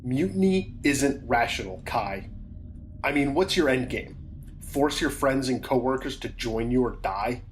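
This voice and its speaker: A man's voice: mid-range voice, expressive, a little slow, slight background noise, lightly acted. Man as a skeptical, blunt male security guard. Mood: uneasy, defiant. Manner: blunt, questioning, colloquial. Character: skeptical rule-following male security team member, jittery and blunt. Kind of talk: science fiction audio drama acting.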